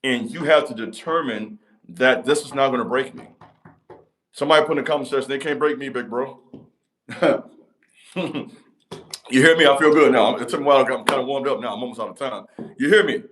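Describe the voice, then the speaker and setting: A man's voice: a little fast, pauses often, fairly bright tone, slight background noise. A man, giving a motivational talk to camera in an emphatic, repetitive cadence. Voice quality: deep voice. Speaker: channel host.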